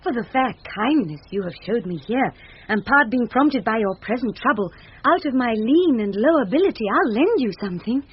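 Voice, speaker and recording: A woman's voice: a little high, lively intonation, normal speed, husky. An actress playing an anxious young noblewoman disguised as a youth, speaking earnest formal verse in a classic Shakespearean radio drama. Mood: earnest, indignant. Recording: dull, band-limited 1970s radio recording.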